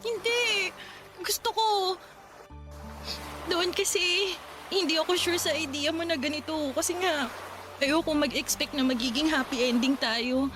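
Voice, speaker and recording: A young bride-to-be and mother in a radio drama, soft and affectionate with feeling close to the surface. Her voice is high and light. Music plays underneath. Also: steady tone, a little slow, pauses often. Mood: tender. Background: background music.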